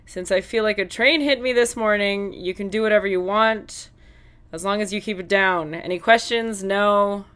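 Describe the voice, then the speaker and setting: An actress playing a hungover, middle-aged homeroom teacher in an audiobook, tired and indifferent, with slightly slurred speech. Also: female voice, medium pitch, moderate pace, a bit bright, clean tone, lightly acted. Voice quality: mildly slurred. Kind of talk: acted audiobook dialogue.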